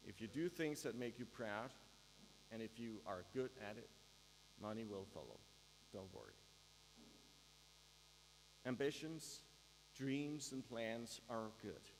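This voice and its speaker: A man with a Danish accent gives a prepared graduation speech, measured and with dry humor. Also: mid-range voice, big pitch swings. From Denmark. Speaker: graduation speaker. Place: large ceremony hall. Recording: reverberant hall with faint mains hum.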